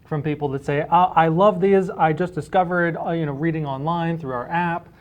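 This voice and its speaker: A man's voice: fairly high pitch, fairly even intonation, normal speed. A man being interviewed casually as a library director, explaining things through everyday hypothetical examples.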